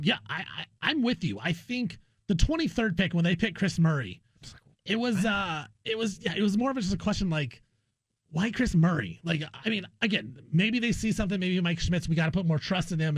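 A man's voice: sits high, lively intonation, a little fast, breathy edge, no room sound. An American man co-hosting a sports talk show, giving his opinions casually and emphatically. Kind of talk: casual sports radio discussion.

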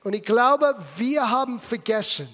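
Older American man, native English speaker, strikingly high voice, emphatic German sermon in a long-reverb hall. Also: unhurried. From United States. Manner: emphatic, swinging between loud bursts and hushed lines; German with non-native grammar and English slips. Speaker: preacher.

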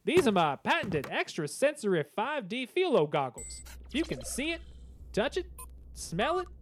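Cartoon student genius: young male nerd, formal and verbose, deferential, lively swooping pitch, faint noise behind. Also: moderate pace, full character voice. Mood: eager, polite. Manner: formal, verbose, butler-like politeness. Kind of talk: comedy cartoon acting.

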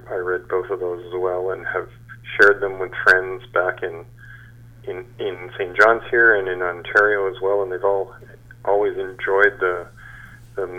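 A man in his sixties with a deep voice, heard through a dull, band-limited recording. He answers interview questions conversationally, in a halting, measured way. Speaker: geophysicist, interview guest.

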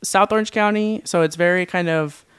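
A young man in his twenties from Southern California, talking as a podcast guest. His voice sounds smooth and clear, and he talks in a relaxed way, pausing on ums and laughing often. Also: high-pitched. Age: 20s. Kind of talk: casual conversational interview.

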